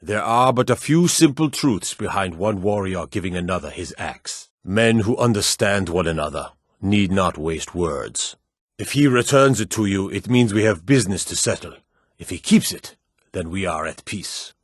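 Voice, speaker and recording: An actor playing a proud, stern middle-aged warrior lord in a fantasy game, with a commanding, measured delivery. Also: male voice, low-pitched, expressive, husky, no room sound, studio quality. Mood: curt, authoritative. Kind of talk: scripted fantasy game dialogue.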